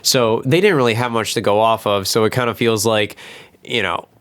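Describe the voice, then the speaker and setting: A man's voice: lowish voice, fairly even intonation, moderate pace, a bit bright, clean tone. A man talking casually in a group discussion, rambling and often repeating his phrases as he goes. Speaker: guest panelist.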